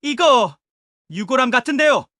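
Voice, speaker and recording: A voice actor playing a calm, formally polite veteran detective. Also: high voice, moderate pace, no room sound, clean studio sound.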